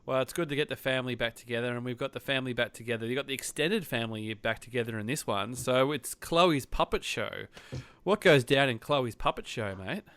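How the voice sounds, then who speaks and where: A man's voice: mid-range voice, brisk, fairly bright tone, smooth, no room sound. An Australian man hosting a podcast in casual chat, laughing easily and thinking aloud as he goes. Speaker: podcast host.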